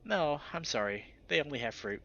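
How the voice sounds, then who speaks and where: A man's voice: on the high side, expressive, normal speed, gravelly, pushed and bright, lo-fi sound, full character voice. A man voicing an earnest, timid young teenage lynx boy in a visual novel, hesitant and apologetic. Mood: eager, apologetic. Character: earnest, timid thirteen-year-old lynx boy in ghost costume.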